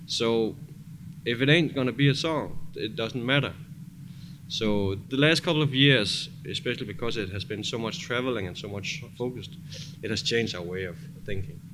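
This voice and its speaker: A man's voice: medium pitch, moderate pace. A man talking casually and informally as a music producer being interviewed, with slightly non-native English phrasing. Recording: clearly noisy background ambience.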